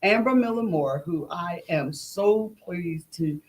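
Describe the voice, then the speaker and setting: A woman's voice: on the low side, lively intonation, slow pace, a little mellow, a little hiss or hum. A middle-aged woman talking conversationally as an interview guest, with an emphatic, folksy storytelling manner. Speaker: playwright and director, interview guest.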